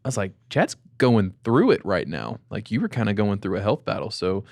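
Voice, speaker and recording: A man's voice: lowish voice, very animated, brisk, creaky, a little mellow, no echo, clean studio sound. A man co-hosting a podcast, chatty and joking as he talks with a guest. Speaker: podcast co-host. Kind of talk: casual interview chat.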